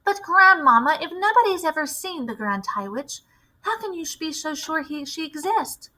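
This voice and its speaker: An anxious young boy, played by a woman reading aloud, very high and bright. The words are urgent and pleading, in a storybook style. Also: big pitch swings, normal speed, exaggerated voice. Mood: puzzled questioning. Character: frightened young grandson, anxious and questioning.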